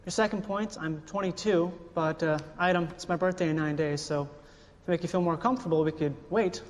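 A man's voice: a little high, steady tone, fairly quick, lightly acted. An actor playing an earnest, officious male government aide in his twenties, hesitant and stiffly polite, in a screen satire. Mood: stiff, unsure. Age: 20s. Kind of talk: scripted satirical screen acting. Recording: very reverberant room, faint noise.

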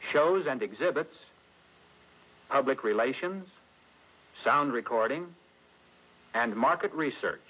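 A dull, lo-fi old film recording of a middle-aged American man with a husky voice. He narrates formally as a company spokesman, and his pitch moves in lively swings. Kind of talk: scripted 1960s industrial film narration. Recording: dull, lo-fi vintage film soundtrack.

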